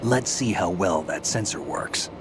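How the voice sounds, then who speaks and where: An actor playing a seasoned soldier in a video game, cool, laconic and a little wry. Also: male voice, deep voice, expressive, unhurried, background noise. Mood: businesslike. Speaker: soldier ally.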